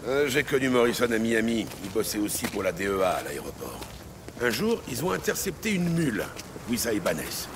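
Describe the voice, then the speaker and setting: An actor playing a hard, cynical cop who swears and talks in street slang in a French audio drama. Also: male voice, fairly low pitch, very animated, a little fast, noisy background. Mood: grim. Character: hard, defiant cop, cynical and menacing when crossed. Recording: loud music behind the voice.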